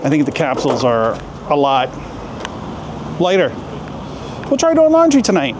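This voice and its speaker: A man's voice: fairly high pitch, wildly swooping pitch, moderate pace. A man chatting casually as a travel vlogger in a joking, playful way, filming outdoors and in shops on a handheld camera. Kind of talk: casual vlog narration. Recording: outdoor street noise.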